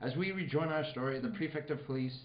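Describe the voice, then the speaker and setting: A man's voice: fairly high pitch, quick pace, slight background noise. A man announcing a comic old-time radio play, recorded in an echoey venue. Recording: dull echoey stage, audience behind.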